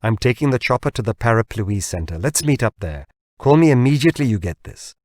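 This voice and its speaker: A man's voice: mid-range voice, animated, fairly quick, darkish tone, dry recording, studio quality, natural voice. Man acting an agitated, pressing company boss. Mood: pleading, urgent. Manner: urgent, pressing, staccato. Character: agitated, wheedling male company founder.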